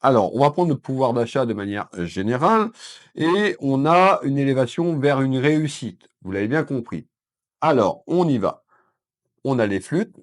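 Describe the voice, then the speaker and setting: A man from France with a head cold giving a calm weekend card reading to viewers from his consulting office. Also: mid-range voice. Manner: calm, addresses viewers as "mes belles âmes", with frequent fillers. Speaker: psychic card reader. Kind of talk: weekend tarot reading to camera.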